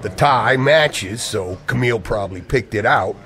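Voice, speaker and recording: A man's voice: fairly low pitch, moderate pace, sharp tone. An actor playing an edgy, secretive man in radio play dialogue, coy and evasive with an old friend. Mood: sly, confident. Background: music.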